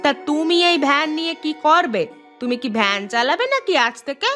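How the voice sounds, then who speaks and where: A woman playing a jealous, meddling village neighbour in an animated moral story, mocking and scolding. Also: high-pitched, a little fast, faint music behind. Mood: nosy.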